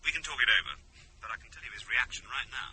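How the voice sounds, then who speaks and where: An actor with a British accent playing a wry, brisk, curious husband in a radio drama, his voice husky. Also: medium pitch, very noisy, lightly acted. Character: dry, brisk, curious husband eager for answers.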